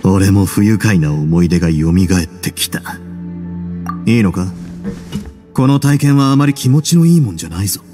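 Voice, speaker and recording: An actor playing a composed, wry prison duke in a Japanese game, measured and courteous. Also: male voice, low voice, expressive, warm tone, soft background music. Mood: calm, serious. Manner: measured, wry, self-assured.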